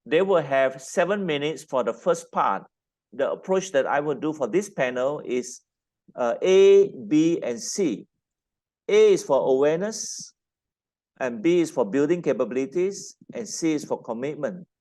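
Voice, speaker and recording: A man moderating a conference panel, speaking formally with occasional filler pauses. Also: on the high side, lively intonation, darkish tone.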